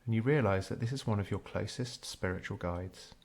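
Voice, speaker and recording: A man narrating a scripted guided meditation in a measured, unhurried storytelling way. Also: fairly low pitch, a little mellow.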